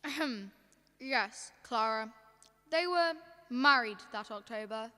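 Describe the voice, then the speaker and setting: A teenage student actress playing a composed heavenly storyteller narrating a radio drama. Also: female voice, a little high, animated, slow pace, pushed and bright, clear, resonant tone, exaggerated voice. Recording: long hall reverb.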